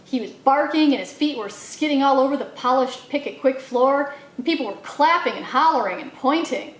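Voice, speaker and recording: A woman reading a children's novel aloud in an expressive storytelling style, acting out the dialogue as she goes. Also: high-pitched, moderate pace, echoey room, poor audio. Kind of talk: animated read-aloud narration for children.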